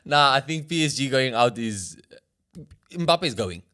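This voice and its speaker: A man bantering casually about football as a podcast co-host, slangy and animated in his reactions. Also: mid-range voice, measured pace. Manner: slangy, keeps saying bro, animated reactions.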